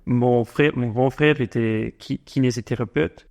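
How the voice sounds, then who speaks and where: A man in his forties who grew up in South Africa and speaks French as a second language, answering interview questions slowly with many fillers and restarts. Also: mid-range voice, fairly even intonation, gravelly, a little mellow. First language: not French. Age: 40s. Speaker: guest entrepreneur.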